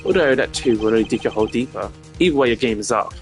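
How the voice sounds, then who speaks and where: A man acts out a proud prosecutor from a video game, stern and formal. He delivers measured accusations in a mid-pitched voice, with faint music behind him. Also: natural voice. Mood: stern, accusing.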